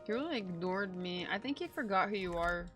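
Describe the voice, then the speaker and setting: A woman's voice: mid-range voice, animated, a little fast. A woman streamer giving casual, playful, slangy reactions to a game. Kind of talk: casual gameplay reaction commentary. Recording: game music behind.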